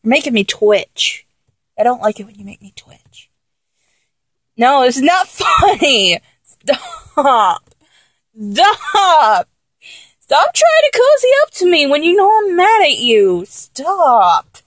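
High-pitched, exasperated girlfriend, acted by a woman. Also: very animated, very slow, long pauses, fairly bright tone, breathy edge, no room sound, full character voice. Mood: giggly protest. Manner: exasperated, teasing, whiny, with sighs and giggles. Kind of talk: intimate adult audio roleplay, one-sided dialogue.

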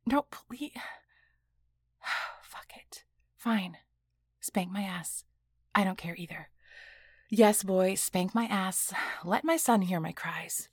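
A woman playing a defiant, domineering female boss in an adult audio drama, with breathy delivery that often drops to a hush. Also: mid-range voice, lively intonation, slow pace, long pauses, no room sound, studio quality. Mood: weary, giving in. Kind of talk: erotic audio roleplay acting.

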